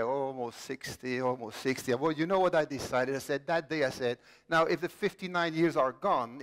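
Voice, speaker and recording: A man in his late fifties from Belgium, telling the story of his own life as a conference speaker, joking with the audience and poking fun at himself. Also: on the high side. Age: 50s. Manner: self-deprecating, jokey with the audience. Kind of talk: personal storytelling talk. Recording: long reverb of a large hall.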